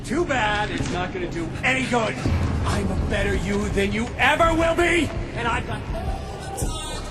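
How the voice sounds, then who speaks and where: An actor playing a taunting evil doppelganger in a game, theatrical, mocking and menacing. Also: male voice, high voice, expressive, moderate pace, loud music behind the voice. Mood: gloating, taunting. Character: gloating, taunting evil doppelganger, manic male villain. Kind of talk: dramatic game villain acting.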